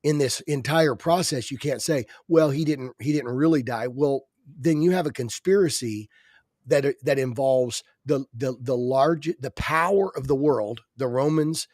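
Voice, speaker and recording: A man's voice: mid-range voice, a little slow, darkish tone, dry recording, studio quality. Stuffy-nosed man arguing earnestly. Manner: many restarts, earnest arguing. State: stuffy nose. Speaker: podcast co-host. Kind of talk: casual religious discussion.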